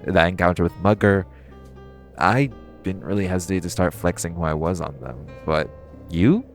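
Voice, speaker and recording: Man acting as a supervillain thief, deep male voice, flirty, cocky, casual teasing, audio roleplay, background music. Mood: curious, needling. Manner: casual, teasing, conversational with playful pauses. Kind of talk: intimate audio roleplay addressed to the listener.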